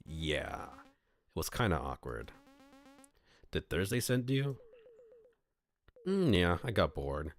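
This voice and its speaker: Deep-voiced man reading a game aloud. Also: big pitch swings, slow pace, creaky, pauses often. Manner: playful, thinks aloud. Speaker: streamer. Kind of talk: reading a visual novel aloud.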